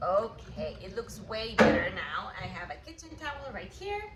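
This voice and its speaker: A woman's voice: medium pitch, sing-song, normal speed, heavy background noise. Cheerful woman teaching in a roomy kitchen. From Guatemala. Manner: cheerful, upbeat, speaks directly to viewers. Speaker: cooking class host. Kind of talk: kid-friendly cooking demonstration. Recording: roomy kitchen, faint ambience.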